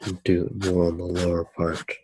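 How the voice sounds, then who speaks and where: A man narrating his drawing for ASMR in a soft-spoken, unhurried way, musing aloud as he works. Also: low voice, completely flat delivery, breathy edge, no room sound. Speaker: ASMR artist.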